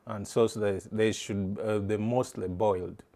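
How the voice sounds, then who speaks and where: A middle-aged man from East Africa answers questions in a lowish voice. He speaks haltingly, often restarting a phrase while he looks for the right word. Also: fairly even intonation, dark tone. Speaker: interviewee. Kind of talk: casual interview answers.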